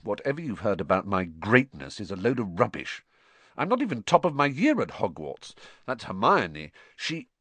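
An earnest young schoolboy, as a narrator puts him on in a storybook reading. He sounds anxious and urgent, with expressive swings of pitch and hesitant phrasing, recorded dry and close. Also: normal speed, husky, dry recording. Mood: embarrassed. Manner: urgent, hesitant, stammering when upset.

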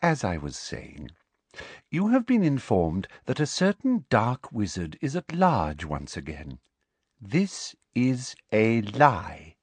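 A man's voice: on the low side, wildly swooping pitch, slow pace, a little mellow, breathy edge, no echo, voice pushed up, exaggerated voice. A man voicing a smug, sickly-sweet middle-aged female teacher from a storybook, with a honeyed trill and a precise, dismissive manner. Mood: stern, smug. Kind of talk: audiobook character voice.

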